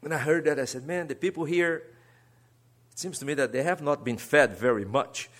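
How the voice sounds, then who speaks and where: A man's voice: on the high side, moderate pace. Brazilian-accented man preaching a sermon. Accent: Brazilian Portuguese. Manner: earnest, swings between soft asides and emphatic rises. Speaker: guest preacher, seminary teacher.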